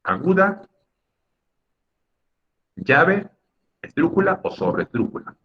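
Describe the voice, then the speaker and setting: A man's voice: fairly high pitch, big pitch swings, slow pace, muffled recording. A man teaching a class in an emphatic, didactic way, repeating key words and checking in with short tag questions. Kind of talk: Spanish lesson on accent rules for students.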